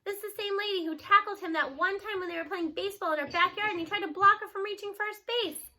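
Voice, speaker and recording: A woman narrating a children's story aloud in an expressive read-aloud style. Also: extremely high pitch, fast talker.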